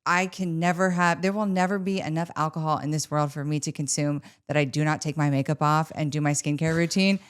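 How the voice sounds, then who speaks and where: A woman's voice: low-pitched, fairly even intonation, brisk, few pauses, clean tone. A woman in her forties, a guest on a podcast, upbeat and emphatic, laughing easily. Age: 40s. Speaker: guest, beauty practice director. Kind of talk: casual interview chat.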